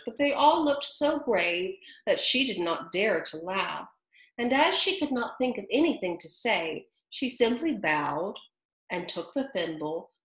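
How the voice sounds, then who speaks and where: Animated woman reading a storybook aloud. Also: medium pitch. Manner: animated and chatty, talking to young listeners. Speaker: storybook reader. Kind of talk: reading a children's story aloud with chatty asides. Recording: roomy echo.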